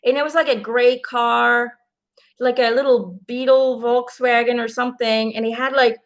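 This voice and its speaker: Woman, Belgian accent, native Dutch speaker, slightly high voice, earnest, encouraging, casual card reading, lots of fillers. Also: fairly even intonation, moderate pace. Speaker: intuitive card reader.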